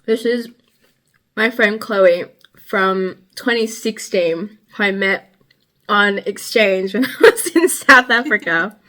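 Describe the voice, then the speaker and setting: A woman's voice: medium pitch, slow pace, pushed and bright. A young woman with an Australian accent, chatting casually to a travel vlog in a wry, rambling, giggly way. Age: young adult. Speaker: travel vlogger. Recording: music behind the voice.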